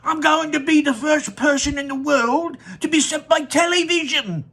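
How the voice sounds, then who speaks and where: A man voicing a cocky young boy who shouts boastfully. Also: very high voice, steady tone, moderate pace, gravelly, pitched above the performer's own voice, full character voice.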